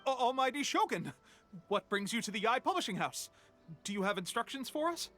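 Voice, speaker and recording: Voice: mid-range voice, very animated, a little fast, sharp tone, faint music behind. A voice actor playing a flustered young male editor who stammers anxiously. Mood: nervous.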